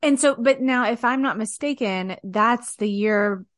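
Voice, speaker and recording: Bright, teasing female podcast host. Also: on the high side, a little slow, dry recording, studio quality. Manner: playful and teasing, laughs easily, casual swearing. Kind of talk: casual interview chat.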